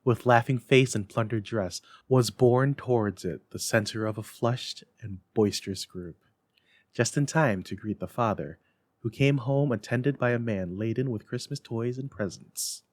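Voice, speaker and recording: A man reads as a storyteller in a dramatic, scripted style. His voice sits at a medium pitch and his delivery is expressive and emphatic. The recording is dry. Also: unhurried, no echo. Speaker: library staff story reader.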